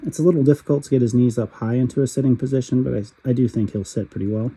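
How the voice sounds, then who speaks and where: Husky man with a cold, very dark voice. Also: mid-range voice, racing, rough recording. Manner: steady, matter-of-fact commentary. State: raspy from a cold. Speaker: toy reviewer. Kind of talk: calm voice-over product review.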